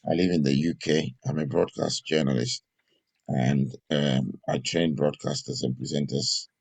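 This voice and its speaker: Man, extremely deep, husky. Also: fairly even intonation, measured pace, darkish tone. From Ghana. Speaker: broadcast journalist and trainer.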